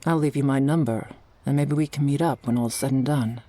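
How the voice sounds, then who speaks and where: A woman acting an earnest, world-weary orca girl in an audio roleplay, with a gentle, measured delivery. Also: rumbling low. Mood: somber.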